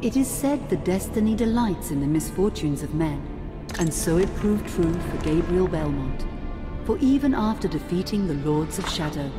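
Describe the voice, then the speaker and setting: A woman acting as a solemn female teller of gothic legend, her voice on the low side and washed in long reverb. She narrates a game cutscene gravely and with measured weight, over clear music. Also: lively intonation, close to an everyday voice. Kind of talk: scripted dramatic narration.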